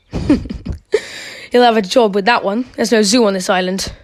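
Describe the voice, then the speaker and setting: A woman's voice: mid-range voice, slow pace, background noise, lightly acted. A woman playing a blunt, suspicious, crooked policeman in an amateur radio play, speaking insistently. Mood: pondering.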